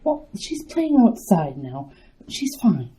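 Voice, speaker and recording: A woman's voice: mid-range voice, big pitch swings, slow, warm tone. A woman playing an anxious mother in a radio drama, who stammers and trails off nervously.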